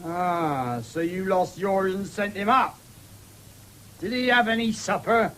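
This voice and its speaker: An actor playing a blunt, quick-tempered country father in a radio drama. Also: high-pitched, fairly bright tone. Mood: questioning. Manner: blunt, challenging, deliberate. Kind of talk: 1970s British radio drama acting. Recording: noisy old radio broadcast recording.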